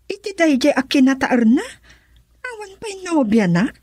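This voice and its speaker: A woman's voice: high-pitched, big pitch swings, normal speed, a little mellow, dry recording, studio quality. A woman acting a cheerful young woman in a radio drama, chatty and eager, with a Filipino accent. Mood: curious, probing. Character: Miles, cheerful young woman returning home to the Philippines.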